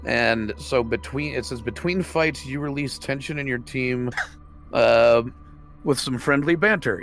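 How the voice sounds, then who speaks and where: A man's voice: medium pitch, a bit bright. A man playing a tabletop roleplaying game, talking casually with the group.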